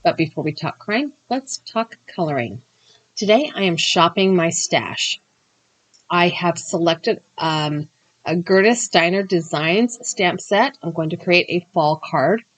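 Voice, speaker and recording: A woman's voice: lowish voice, animated, a little slow. A woman with a slightly scratchy voice after a cough hosts her channel, chatting through a crafting tutorial and then narrating history in an explanatory way with the odd um. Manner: chatty and explanatory, with occasional ums. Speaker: crafting and true crime channel host.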